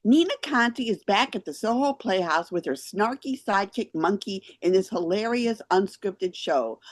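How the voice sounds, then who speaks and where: A woman hosting a theater show, chatting with guests and giving spoken reviews in an expressive way. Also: medium pitch, moderate pace.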